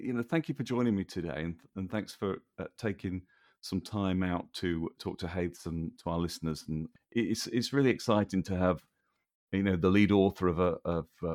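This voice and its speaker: Deep-voiced male podcast host. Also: a little mellow. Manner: rambling, hesitant questions. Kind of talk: podcast interview.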